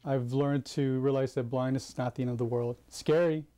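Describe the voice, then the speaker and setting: A man's voice: mid-range voice, fairly even intonation, brisk, background noise. A man speaking informally and reflectively as an interviewee. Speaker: interviewee, human resources manager.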